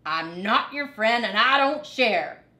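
A woman's voice: fairly high pitch, lively intonation, slow, bright, forceful tone, heavily acted. A woman voicing a grumpy, friendless male rat in a storybook, curt and huffy, in a roomy space.

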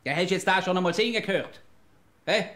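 Actor as high-pitched grumbling Swiss husband. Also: male voice, quick pace, fairly bright tone. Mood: puzzled, insistent. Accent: Swiss German. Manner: blustering, repetitive, sarcastic. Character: grumbling, mocking middle-aged Swiss husband watching TV. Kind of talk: comic radio play dialogue. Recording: old radio play with music behind.

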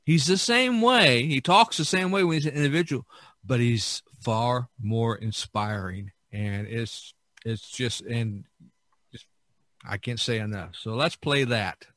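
A man's voice: mid-range voice, a little slow, a bit bright, no echo. A man hosting a podcast in casual, conversational talk. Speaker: podcast host.

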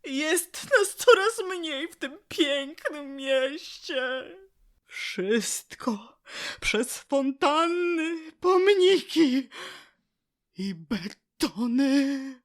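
A woman's voice: high-pitched, animated, exaggerated voice. A woman playing a grieving, vengeful willow tree in an audio drama, with a tearful, theatrical delivery. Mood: mournful, wistful. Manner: theatrical, tearful, drawn-out lament. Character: weeping willow tree, grieving, vengeful and bitter female spirit.

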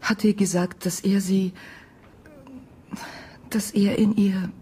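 An actress playing a gentle, weary Ukrainian immigrant woman in a radio drama, speaking halting, broken German in a husky voice. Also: mid-range voice, flat delivery, a little hiss or hum, lightly acted. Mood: sorrowful, confiding. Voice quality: husky, breathy voice. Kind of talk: naturalistic radio drama acting.

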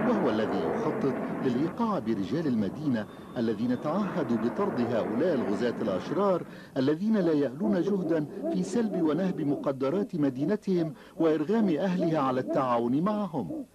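A man's voice: fairly high pitch, dull audio. A man narrating a children's story in a dramatic, scripted style. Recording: loud ambient background noise.

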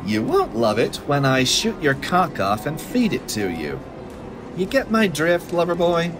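A swashbuckling space braggart, acted by a man, cocky and full of British slang. His highish voice swoops in an unhurried way over music. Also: huge pitch swings. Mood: threatening.

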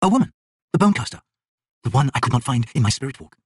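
A stern young shaman of a fantasy tribe, voiced by a male narrator. He sounds cold and urgent, with a husky edge and lively, forceful pitch swings. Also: fast talker, no echo, clean studio sound. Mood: stern urgency.

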